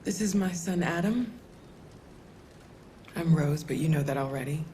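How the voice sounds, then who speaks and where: An American woman acting as a kind but guarded middle-aged mother in a video game, gentle and plain-spoken. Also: deep voice, a little slow, a little hiss or hum. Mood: kindly. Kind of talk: naturalistic cinematic game acting.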